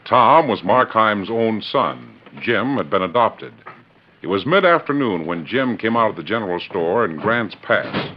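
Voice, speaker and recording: A man's voice: on the low side, big pitch swings, unhurried. A man with an American accent, delivering grand, declamatory narration as a 1940s radio drama announcer. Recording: muffled, hissy 1940s radio recording.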